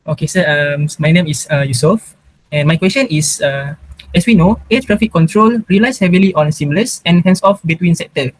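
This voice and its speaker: A man's voice: a little high, normal speed, dry recording. A young man with a Malaysian accent asking a formal prepared question as a student interviewer. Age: young adult.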